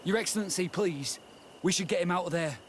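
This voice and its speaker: An actor playing a dutiful army corporal in a video game, formal and deferential. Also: male voice, sits high, fairly quick, slight background noise, natural voice. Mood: grim concern. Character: dutiful male army corporal, grim toward his enemies.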